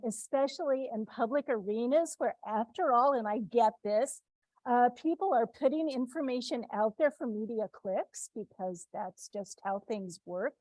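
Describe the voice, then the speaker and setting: A woman's voice: fairly high pitch, a little mellow, dry recording, low-quality recording. A woman from the Midwest giving an informal talk to students, speaking conversationally with frequent restarts and tag questions.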